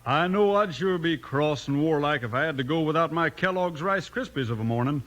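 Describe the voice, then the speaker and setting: A man's voice: fairly high pitch, lively intonation, fairly quick, fairly bright tone. A man delivering a scripted 1950s radio advertisement with folksy, boyish enthusiasm. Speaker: ad pitchman.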